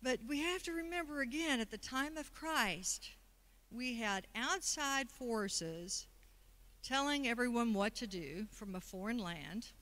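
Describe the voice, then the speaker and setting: Bright-voiced woman giving a Sunday talk. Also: on the high side, lively intonation, measured pace. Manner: conversational, asks the congregation questions. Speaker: speaker at a Sunday service. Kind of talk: sermon talk.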